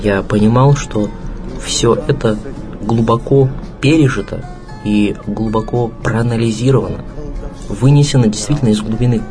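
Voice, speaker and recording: A man with a low voice offers a reflective tribute. He speaks haltingly, phrase by phrase, with music clearly playing behind him. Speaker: interviewee, colleague giving a tribute.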